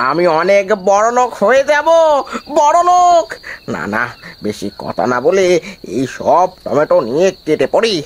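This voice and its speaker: Cartoon village thief, male actor: sly, greedy, gloating, higher-pitched, very animated delivery. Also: slow, full character voice. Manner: gloating, muttering to himself. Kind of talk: cartoon voice acting.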